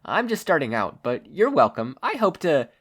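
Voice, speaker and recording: A reader voicing an earnest, excitable teenage boy hero with an eager, polite manner in a story. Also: mid-range voice, moderate pace, husky, voice pushed up. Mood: reassuring, eager.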